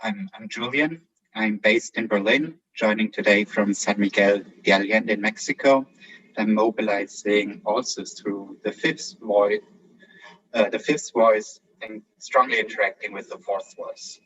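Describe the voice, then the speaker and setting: Husky man, distant and muffled. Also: on the low side, steady tone, measured pace, fairly bright tone. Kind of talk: casual introduction in an online meeting. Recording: distant, muffled call audio.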